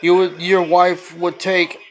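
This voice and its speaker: A man's voice: high-pitched, completely flat delivery, takes it slowly. A man giving a casual, opinionated film review as a blunt, exasperated rant, swearing freely and repeating his complaints.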